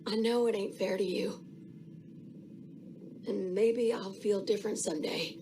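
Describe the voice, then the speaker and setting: A woman's voice: mid-range voice, steady tone, measured pace, dark tone, a little hiss or hum, close to an everyday voice. An actress with a Southern American accent playing a plucky, guarded, plain-spoken young female fighter in a video game. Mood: hurt, guarded. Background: music.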